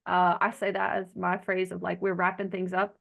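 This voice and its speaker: A woman's voice: fairly low pitch, completely flat delivery, moderate pace, creaky, a bit bright. Chatty woman with Arkansas-Jersey accent. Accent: blend of Arkansas Southern and New Jersey. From Arkansas, United States. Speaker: host of an online group chat. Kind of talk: casual group video chat. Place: video call.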